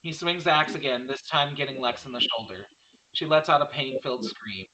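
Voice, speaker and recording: A man narrates a screenplay, reading out action and scene headings. His voice is mid-pitched, bright and forceful. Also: brisk, poor audio. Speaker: narrator reading stage directions. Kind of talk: scripted narration of horror screenplay.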